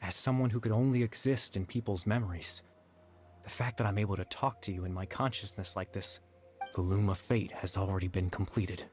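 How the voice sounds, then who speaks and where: An actor playing a gentle, sorrowful young man in a video game, speaking softly and hesitantly with wistful remorse. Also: fairly low pitch, a little fast, faint music behind, lightly acted. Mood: calm, explaining. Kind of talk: dramatic video game dialogue.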